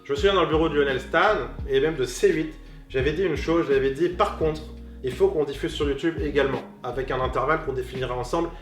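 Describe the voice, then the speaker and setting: Animated man in his thirties, echoey room. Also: fairly high pitch, fast talker. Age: 30s. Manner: animated, talkative, frequent restarts and fillers. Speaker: guest, comedian. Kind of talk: casual interview conversation. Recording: echoey room with music behind.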